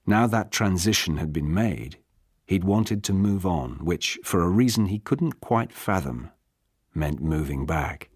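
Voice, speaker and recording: A British man narrates literary fiction in a very deep voice. His delivery is expressive, with lively swings in pitch, and the tone is husky. It is a scripted audiobook read. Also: measured pace, dry recording, clean studio sound. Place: dry studio.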